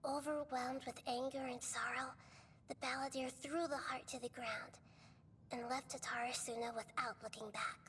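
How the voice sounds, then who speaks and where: A woman voicing a gentle, wise young deity in a video game, speaking softly with measured, earnest storytelling. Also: high voice, steady tone, big-room sound, a little hiss or hum. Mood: solemn.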